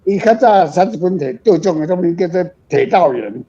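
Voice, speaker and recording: Husky older Taiwanese man, higher-pitched. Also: animated, a little fast, mellow. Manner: rambling, frank, self-deprecating. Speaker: author, guest on a radio show. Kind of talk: casual reflective book talk. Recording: dull lo-fi recording.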